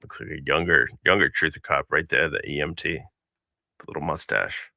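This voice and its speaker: Deep-voiced, joking man from Minnesota. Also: normal speed, vocal fry, pushed and bright, dry recording, studio quality. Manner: laid-back, joking, slangy. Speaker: stream host. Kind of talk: casual chat.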